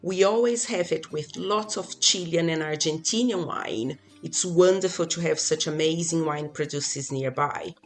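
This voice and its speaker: A woman's voice: sits low, moderate pace, hardly any pauses, faint music behind. A woman from Brazil, a native Portuguese speaker, reading her part as a guest in a scripted podcast conversation.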